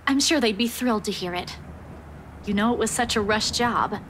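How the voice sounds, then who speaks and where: A woman's voice: mid-range voice, normal speed, background noise, natural voice. An actress playing an anxious, chiding young woman in a visual novel game. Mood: scolding. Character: worried, scolding young woman named Isabella.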